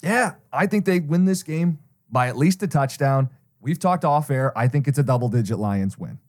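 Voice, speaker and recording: A man's voice: a little high, lively intonation, moderate pace, a little mellow. An American man co-hosting a sports betting show, talking through his analysis in a methodical, explanatory way with plenty of statistics.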